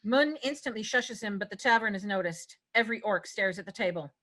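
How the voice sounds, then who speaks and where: A woman's voice: medium pitch, fairly quick, a bit bright. A woman reading scripted narration and stage directions for a fantasy table read.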